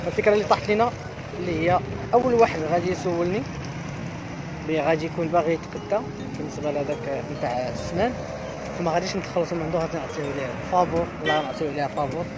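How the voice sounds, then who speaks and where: A man's voice: fairly high pitch, noisy background. A man in his thirties with a Moroccan Arabic accent, chatting casually while vlogging from an outdoor street market on a phone with an earphone mic. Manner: chatty, friendly, fast-talking. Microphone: wired earphone mic, phone at arm's length.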